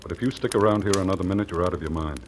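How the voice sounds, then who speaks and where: A man's voice: low voice, very fast, close to an everyday voice. An actor playing a hard-boiled, cynical small-town newspaperman with a grudge in old-time radio drama style. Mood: warning, curt. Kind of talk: 1940s-50s radio drama acting. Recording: noisy, crackling old radio recording.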